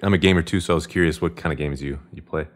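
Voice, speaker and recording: A man's voice: sits low, racing. A man in his thirties casually co-hosting a conversational podcast. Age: 30s. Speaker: podcast co-host.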